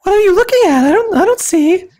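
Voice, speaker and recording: A performer voicing an anxious, prim young blonde woman in a squeaky falsetto, in a comic fan fiction reading. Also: expressive, fast, a little mellow. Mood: flustered, urgent. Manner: flustered, fussy, breathlessly earnest.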